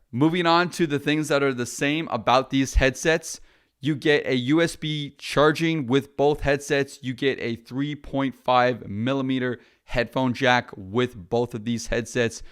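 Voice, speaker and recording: A man's voice: medium pitch, clean tone. A man, a filmmaker, chatting casually and bluntly through a product review.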